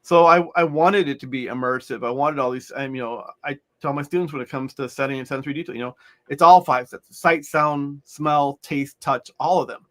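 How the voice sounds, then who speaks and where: A man's voice: medium pitch, brisk, fairly bright tone. A middle-aged man, an author, talking in a loose, rambling way with many restarts as an interview guest. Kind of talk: conversational interview.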